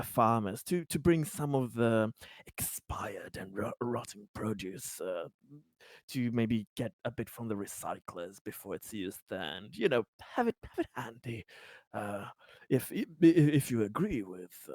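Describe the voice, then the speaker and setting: A man's voice: medium pitch, no room sound, clean studio sound. A man playing an eager, scheming political helper in a tabletop game, hesitant, polite and often stammering.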